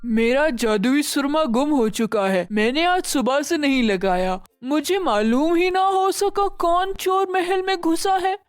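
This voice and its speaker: Woman acting a gentle fairy queen, children's story, high pitch, very dry sound, stately, measured, kindly. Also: no room sound. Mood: concerned.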